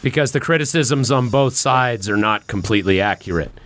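A man's voice: mid-range voice, brisk, fairly bright tone. A man hosting a podcast in casual conversation, rambling along with informal slang and swearing. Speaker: podcast host.

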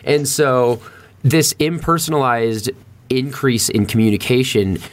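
A man's voice: medium pitch, unhurried. A man telling stories in casual podcast chat, rambling and swearing constantly. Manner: heavily profane, rambling, animated.

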